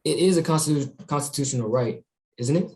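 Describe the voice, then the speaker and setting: Man playing an earnest high school student. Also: fairly quick, a little mellow, lightly acted. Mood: assertive. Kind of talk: virtual table read of a screenplay.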